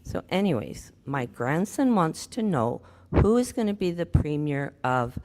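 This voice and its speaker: Low-voiced older woman in a reverberant hall. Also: sing-song, unhurried, a little mellow. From Alberta, Canada. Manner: chatty, laughs between points. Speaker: audience member asking a question. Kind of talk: casual Q&A at a public meeting. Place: community hall.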